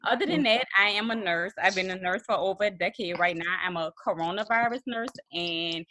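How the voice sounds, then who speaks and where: A woman's voice: medium pitch, fairly quick, bright, forceful tone, a little hiss or hum. A woman in her thirties introducing herself as a podcast co-host, upbeat and laughing. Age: 30s. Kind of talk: casual self-introduction.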